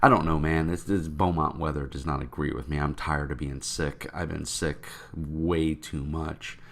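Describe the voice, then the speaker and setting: A man with a deep, froggy voice from a cold, rambling casually to his viewers about tabletop games. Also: steady tone, normal speed, creaky, a bit bright. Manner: rambling, with many fillers. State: congested from a cold. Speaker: tabletop game vlogger. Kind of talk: casual rambling talk to camera.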